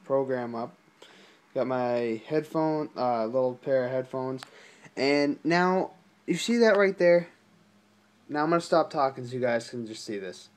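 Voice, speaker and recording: A young man talking casually and haltingly to viewers, as in an informal gaming vlog. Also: mid-range voice, lively intonation. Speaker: gaming video creator. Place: small indoor room at a desk.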